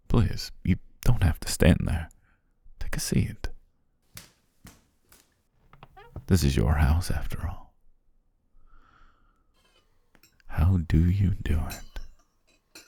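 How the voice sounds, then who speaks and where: A man playing a courteous, flattering demon king in an intimate ASMR roleplay, with formal, old-fashioned phrasing and a husky, gravelly voice. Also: heavily acted. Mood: delighted, savoring. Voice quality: very deep, gravelly, husky, breathy. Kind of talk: ASMR roleplay, intimate second-person acting.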